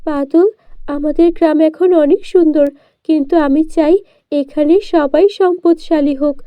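A woman's voice: high-pitched, steady tone, unhurried, no echo, highs cut off, voice pushed up. A woman voicing a brave, kind-hearted young village girl in a children's cartoon fairy tale. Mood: earnest.

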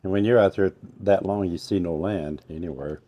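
A man's voice: deep voice, moderate pace, warm tone. An older man hosting a casual interview on an outdoor patio, rambling and restarting his sentences, recorded from a camera at a distance. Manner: rambling, repeats and restarts himself. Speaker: host. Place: covered outdoor patio by a fireplace.

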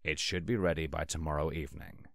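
A man's voice: very deep voice, fast, gravelly, no echo, clean studio sound. An actor playing a formal, precise male assistant who hides a mischievous, mocking trickster god, in an audio drama. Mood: bland, formal.

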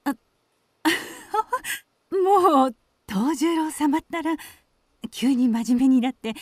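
Polite married woman of old Kyoto, period radio drama. High, unhurried, old-fashioned courtesy. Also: animated, no room sound, studio quality, heavily acted. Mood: flustered. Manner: soft-mannered, deferential, old-fashioned polite speech.

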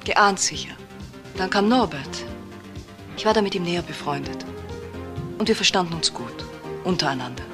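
A woman's voice: lowish voice, animated, normal speed, long pauses, over music, lightly acted. An actress playing a defensive, clipped female murder suspect in a crime radio drama. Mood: confiding.

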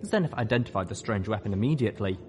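Animated male story narrator. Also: on the low side, very fast, a little hiss or hum. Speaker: narrator and host. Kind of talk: scripted first-person story narration.

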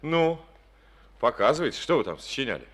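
An actor playing a calm, confident male district party secretary, speaking in an easygoing, assured way, in an old Soviet radio play. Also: medium pitch, fairly quick, a loud crowd, natural voice.

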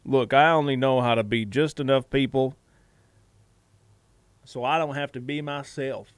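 A man's voice: medium pitch, fairly even intonation, measured pace, clean tone, no echo. A man livestreaming a game in casual, rambling chat, repeating phrases and swearing freely, with a put-on folksy hillbilly drawl.

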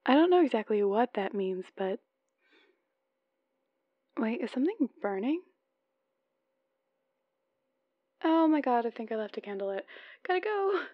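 A woman's voice: a little high, very animated, normal speed, pauses often, no echo, highs cut off. A woman playing an adorkable, lovesick, playful newlywed wife leaving chatty voicemails in an ASMR roleplay. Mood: sheepish, flustered. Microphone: close microphone, dry.

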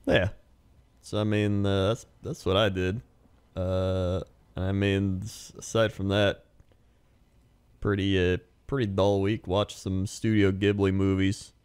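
A man's voice: sits low, little pitch movement, takes it slowly, darkish tone, clean tone. A man co-hosting a casual podcast, laid-back and easygoing, chatting in an amused way while getting over a cough. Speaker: podcast co-host.

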